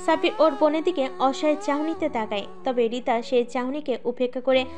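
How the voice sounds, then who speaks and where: A woman's voice: high-pitched, steady tone, brisk. A woman narrator reading a scripted dramatic story.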